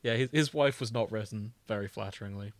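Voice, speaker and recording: A man's voice: on the low side, brisk, no room sound. Man chatting casually as podcast co-host, close dry sound. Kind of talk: casual chat.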